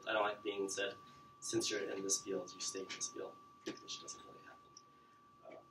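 A man's voice: lowish voice, fairly bright tone, a little hiss or hum. A young man giving a casual talk to students in a classroom, chatty with many fillers. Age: young adult. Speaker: guest speaker. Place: classroom with projector screen. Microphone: far camera. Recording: roomy classroom from far off.

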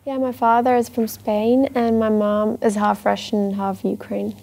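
A woman's voice: a little high, flat delivery, unhurried, creaky, warm tone. A teenage girl from Switzerland, a ballet student, talking casually and eagerly in an interview, with many "like"s. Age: teens. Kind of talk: documentary interview.

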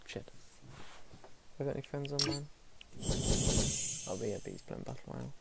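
A man's voice: medium pitch, huge pitch swings, moderate pace, vocal fry, loud music behind the voice, rough recording. A man from England casually commenting on his own gameplay, muttering to himself with lots of hesitant fillers. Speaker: gaming streamer.

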